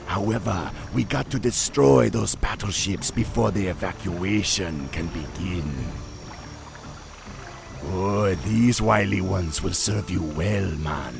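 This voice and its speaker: A man's voice: deep voice, slow pace, over music, exaggerated voice. A man voicing a proud male troll chieftain who speaks in an island patois, in a video game cutscene. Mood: urgent. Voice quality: gravelly.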